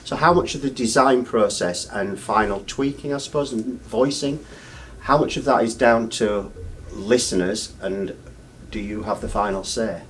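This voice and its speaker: A man's voice: on the low side, moderate pace. A man interviewing someone in a conversational style, as a reviewer asking questions. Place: busy hi-fi trade show. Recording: clearly noisy trade show ambience.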